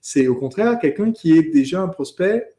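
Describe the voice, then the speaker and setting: A man's voice: on the high side, animated, moderate pace, mellow. A man hosting a business training course, explaining and asking questions in a conversational way as he thinks aloud. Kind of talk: conversational teaching, interviewing a colleague.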